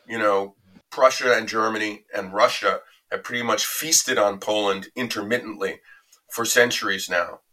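A man answering an interview question in a reflective, anecdotal way, measured and conversational. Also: fairly low pitch, fairly even intonation, sharp tone. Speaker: interview guest recounting a reporting trip.

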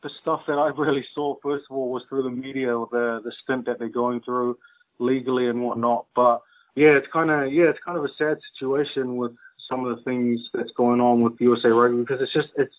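A young man from New Zealand, a rugby player, chatting casually as an interview guest in a relaxed, easygoing way with plenty of fillers. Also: mid-range voice, fairly quick. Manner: relaxed, loose with fillers and restarts. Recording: tinny phone-like line.

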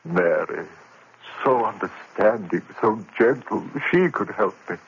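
Tormented professor, haunted, intense, old radio drama, muffled, faint hiss. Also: male voice, on the low side, animated, measured pace. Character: tormented male professor losing his mind. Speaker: college lecturer. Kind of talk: 1940s radio acting.

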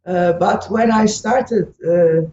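A middle-aged woman with a Turkish accent answers as an interview guest. She speaks reflectively and haltingly, hesitating often. She sounds far from the mic in an echoey space, with faint noise behind her. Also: fairly low pitch, warm tone. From Istanbul, Turkey. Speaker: interview guest, sociology professor and author. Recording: echoey, muffled, distant, noisy remote line.

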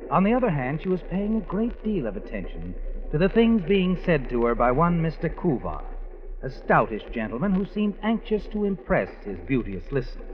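An actor playing a sinister, all-knowing narrator in a 1940s radio mystery, ominous and insinuating in manner. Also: male voice, on the high side. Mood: wry, knowing. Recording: dull old radio recording with music.

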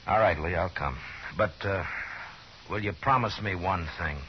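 An actor playing a steady, laconic frontier lawman with measured, understated delivery in 1950s radio Western style. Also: deep voice, natural voice. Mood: firm, sympathetic. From American. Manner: measured, understated, terse questioning. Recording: muffled, hissy 1950s radio recording.

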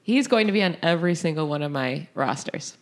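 Teasing woman, raspy with a cold. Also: sits low, expressive, brisk. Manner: laughs easily, teasing. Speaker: podcast co-host. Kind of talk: casual fantasy football chat.

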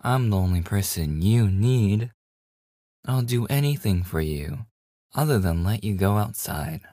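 Man acting as a low-voiced, slow-talking devoted boyfriend. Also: animated, mellow, no echo, studio quality. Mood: possessive. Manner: hesitant, stammering, intimate. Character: devoted, nervously joking boyfriend hiding obsessive yandere streak. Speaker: voice actor. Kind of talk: boyfriend ASMR audio roleplay.

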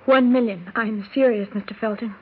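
A woman's voice: fairly high pitch, fairly even intonation, normal speed, slight background noise, lightly acted. A woman playing an earnest pleading rich daughter. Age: young adult. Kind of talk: 1960s radio drama acting. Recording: dull lo-fi old radio recording.

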